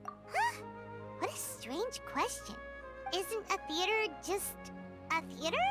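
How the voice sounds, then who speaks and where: A woman voicing a tiny, childlike fairy companion in a video game, perky and cheeky with a squeaky voice. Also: very animated, slow pace, over music, full character voice. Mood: puzzled. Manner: refers to self in third person, exclamatory.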